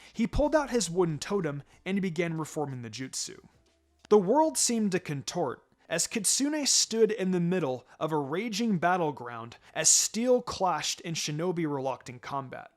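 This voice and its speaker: A man reading a scripted story aloud as a dramatic narrator. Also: fairly high pitch. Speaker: fan fiction reader. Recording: music under the voice. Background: background music.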